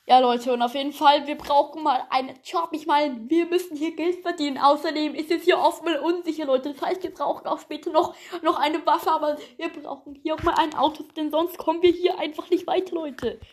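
A woman's voice: sits high, fairly even intonation, a little fast, hardly any pauses. A woman improvising a homemade audio play, chatting casually and hesitantly straight to the listeners.

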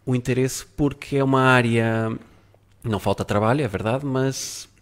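A middle-aged man from Spain with a mixed Spanish and Portuguese accent, speaking as a guest and reflecting in a relaxed way. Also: medium pitch, normal speed. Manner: reflective, many fillers and restarts. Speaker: guest photographer. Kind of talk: casual interview talk.

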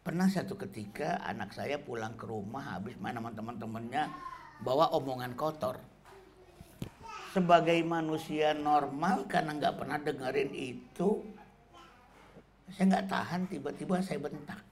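A middle-aged man giving a relaxed, conversational talk on parenting, often tagging sentences with casual fillers. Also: fairly high pitch, normal speed, slight background noise. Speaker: parenting speaker.

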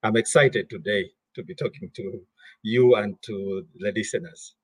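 A man's voice: lowish voice, moderate pace, slightly rough, dry recording, rough recording. A middle-aged man from Malawi, a guest in an interview, who often repeats words while gathering his thoughts. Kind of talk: interview conversation.

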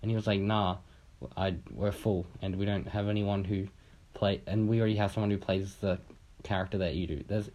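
A man's voice: fairly low pitch, level tone, a little fast, darkish tone, muffled recording, a little hiss or hum. Young guy from australia rambling thru a story, swears a lot, does little voices for people. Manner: rambling, swears casually, acts out quotes. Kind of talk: casual chat, storytelling.

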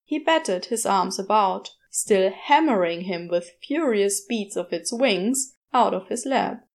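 A woman narrating a scripted story in a measured, expressive storytelling manner. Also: medium pitch. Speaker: podfic narrator.

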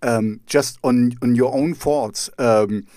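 A man from East Germany hosts an interview in English with a clear German accent. He circles his questions with fillers and false starts. His voice sits close and dry with no room echo, at an ordinary pitch for a man. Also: a little mellow. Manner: rambling, halting questions full of restarts and fillers. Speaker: podcast host. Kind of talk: conversational interview.

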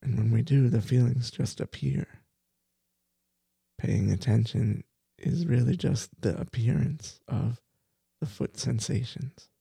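Male meditation teacher, very slow and soothing, dark husky tone, guides a bedtime body scan. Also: mid-range voice, no echo. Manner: slow, soft, soothing guidance with repeated phrases.

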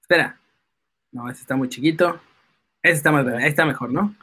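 Excitable, high-voiced Mexican man, bright tone. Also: brisk, slightly rough. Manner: excitable, playful, exclamatory. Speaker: live stream co-host. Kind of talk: casual live-stream banter.

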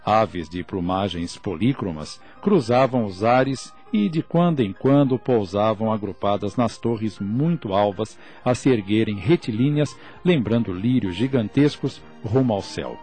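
A man's voice: fairly low pitch, animated, a little slow, hardly any pauses, a little mellow, husky, faint music behind. A man narrating a scripted radio drama in formal, literary diction.

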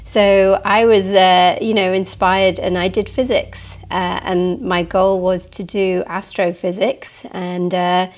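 A woman's voice: fairly low pitch, level tone, takes it slowly, few pauses. A woman from Manchester, speaking reflectively and conversationally as a panel guest. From Manchester, England.

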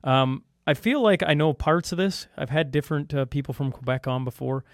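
Podcast host guy, kinda high voice, lots of um and uh, super dry close mic. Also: no room sound. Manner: halting, many fillers and restarts. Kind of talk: casual interview talk.

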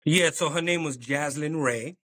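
Man, slightly higher voice, relaxed and halting. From New York. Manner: relaxed, halting with many ums, chuckles. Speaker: clothing brand owner, guest. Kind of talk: casual interview chat.

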